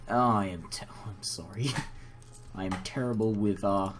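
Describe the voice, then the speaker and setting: A man's voice: lowish voice, measured pace, background noise. A young man, a native English speaker, giving rambling, self-deprecating and apologetic casual commentary as the host of a gaming video, recorded indoors at a computer. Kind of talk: casual unscripted game commentary.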